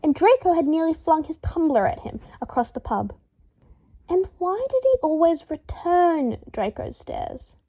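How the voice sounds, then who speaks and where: A woman reading fiction aloud as an expressive, scripted narration, with emphatic delivery. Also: very high-pitched, a little slow, lo-fi sound. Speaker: podfic narrator.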